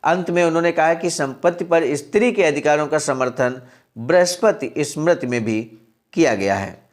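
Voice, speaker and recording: A man with a somewhat high voice presents a daily message in a steady, earnest way. He reads a historical text aloud, pauses to explain it, and calls his listeners honored friends. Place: center in Sarnath, Varanasi.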